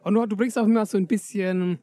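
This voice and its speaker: A man's voice: high voice, fairly even intonation, fast, mellow, no echo. A man with a Berlin accent, hosting a podcast in a playful, joking way and often stumbling over his words. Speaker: podcast host. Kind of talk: casual chat.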